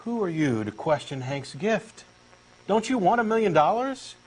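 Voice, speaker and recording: A man's voice: sits high, big pitch swings, moderate pace, darkish tone, rough recording. A man with a Texan accent reading a comic dialogue as an eager, insistent believer. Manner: eager, insistent true believer, earnest and pushy. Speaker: co-host doing a comic dramatic reading.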